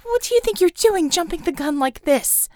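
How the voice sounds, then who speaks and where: A woman narrator performs a tiny, feisty heroine. She is sarcastic and defiant, snapping out emphatic lines with animated pitch. The recording is close and dry. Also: normal speed, no echo. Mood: exasperated. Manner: sharp, snarling, emphatic.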